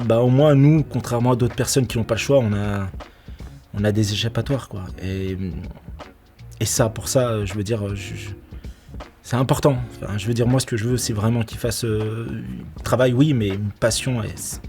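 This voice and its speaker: A man's voice: medium pitch, fairly even intonation, moderate pace, mellow, soft background music. A middle-aged man from Portugal, an actor, telling stories from film shoots in a casual interview, laughing often and restarting his sentences. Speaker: actor and nightclub security manager.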